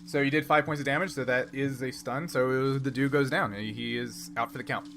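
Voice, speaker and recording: A man runs a tabletop role-playing game in an average-pitched voice. He narrates off the cuff and walks players through rules. The recording has clear noise behind him. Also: fairly even intonation, brisk, fairly bright tone. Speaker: game master.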